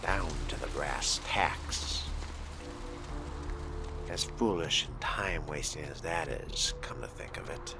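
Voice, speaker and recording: A man's voice: very deep voice, slow pace, over music. A man giving in-character game commentary in a haughty, drawling manner with formal diction. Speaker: player voicing his own vampire character in a roleplay playthrough.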